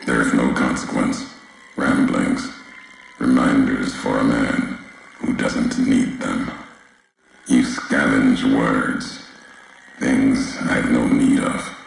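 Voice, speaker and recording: A man voicing a solemn, brooding wasteland courier in a video game, very deep and gravelly, speaking in slow, cryptic, clipped fragments. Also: heavy reverb, slight background noise, full character voice, poor audio. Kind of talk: video game character monologue.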